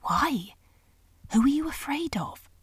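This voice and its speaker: A woman's voice: high voice, big pitch swings, slow pace, no echo, pitched above the performer's own voice, heavily acted. A woman reading a storybook aloud, voicing a polite, earnest young boy.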